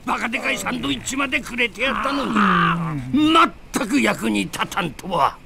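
A man playing a blustering, bossy elderly mad scientist villain in an animated game, pompous and scolding. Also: very high-pitched, brisk, creaky, over a noisy crowd, full character voice. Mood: irritated. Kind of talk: animated game cutscene acting. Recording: loud music behind the voice.

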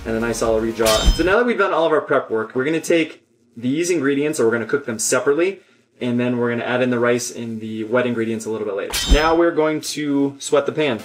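A man in his thirties hosting a home cooking demo, giving earnest step-by-step instructions with deadpan jokes, recorded on a lapel mic in a home kitchen. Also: medium pitch, fast talker, poor audio. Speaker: cooking show host. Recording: music playing underneath.

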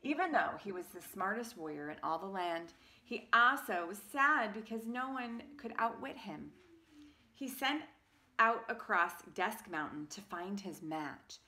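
Female storybook reader, forties, bright and theatrical, slow delivery, some room noise on a phone. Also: medium pitch, animated. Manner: theatrical, emphatic. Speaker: parent reading a picture book aloud. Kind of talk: expressive storybook narration. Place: carpeted home room with sloped ceiling. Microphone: phone at arm's length.

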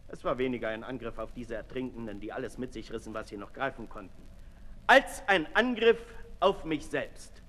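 An actor playing a brooding, disillusioned aristocrat in a 1960s German radio play, declaiming deliberately, from hushed to loud. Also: male voice, mid-range voice. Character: Marquis de Sade, brooding disillusioned aristocrat, intense and self-lacerating. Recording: 1960s radio recording in reverberant hall.

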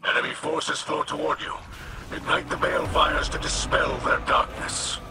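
A man playing a solemn mystical commander in a video game, speaking formally and gravely. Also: on the high side, moderate pace, loud music behind the voice, natural voice. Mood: grave, urging.

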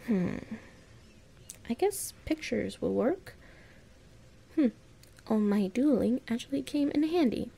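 A woman playing a playful, tender young human in love with a dragon, musing aloud softly in an intimate audio roleplay. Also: a little high, expressive, slow, vocal fry, long pauses, slightly rough, heavily acted.

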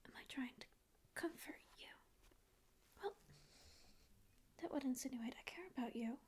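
A woman's voice: high-pitched, normal speed, darkish tone, close to an everyday voice. Woman whispering as sarcastic sleep paralysis demon. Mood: grumpy whisper. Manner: whispered, mock-rude, sarcastic. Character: grouchy ancient demon, secretly caring. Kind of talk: ASMR roleplay. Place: small dim room indoors. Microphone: close ASMR microphone.

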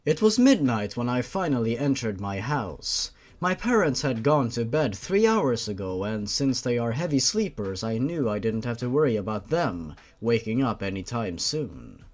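A man's voice: mid-range voice, sing-song, moderate pace, few pauses. A man reading fan fiction aloud as the host of a series, in a dramatic, mock-serious storytelling manner.

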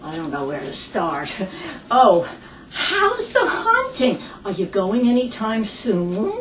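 A woman playing an excitable, quarrelsome grown daughter in a farcical stage comedy, with histrionic, emphatic delivery. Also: medium pitch, slow. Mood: coaxing. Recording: echoey room, distant mic, clear noise.

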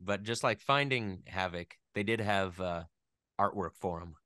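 A man's voice: low voice, measured pace, bright, forceful tone, smooth, no room sound. A man, a voice actor, chatting casually as an interview guest, telling stories in a joking, self-deprecating way.

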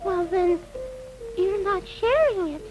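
Man acting little girl puppet, very high pitch, earnest, pleading, childlike, old children's film. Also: expressive, takes it slowly, exaggerated voice, poor audio. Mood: plaintive. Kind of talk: scripted children's educational puppet show. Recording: old 1960s film soundtrack, music behind.

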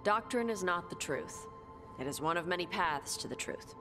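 An actress playing a composed, philosophical woman adventurer in a game cutscene, measured and direct. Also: on the low side, lively intonation, over music, lightly acted. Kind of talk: video game cutscene acting.

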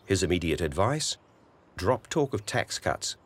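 Deep-voiced male documentary narrator. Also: big pitch swings, husky. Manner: measured, matter-of-fact.

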